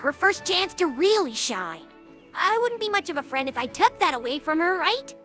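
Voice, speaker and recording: Brash, loyal young female pegasus pony, very high and swooping, youthful and cocky, informal cartoon dialogue. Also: sing-song, normal speed, a bit bright, faint music behind, exaggerated voice. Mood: reflective. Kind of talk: animated cartoon-style audio drama dialogue.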